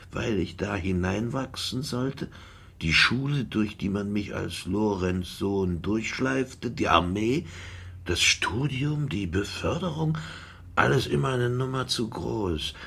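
An actor playing a bitter, insecure middle-aged former general, a wounded son, in a naturalistic radio drama. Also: male voice, fairly low pitch, expressive, slow pace, creaky, fairly bright tone, husky, exaggerated voice. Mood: brooding, confessional.

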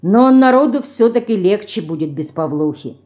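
A woman voicing a thoughtful, good-natured teenage boy with a measured, conciliatory manner in a storybook reading. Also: mid-range voice, animated, dark tone, lightly acted.

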